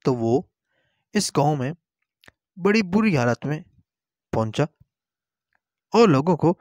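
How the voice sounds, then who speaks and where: Slow, higher-pitched man telling a folk tale. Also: no echo, studio quality. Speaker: storyteller and channel host. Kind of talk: narrating a moral folk tale.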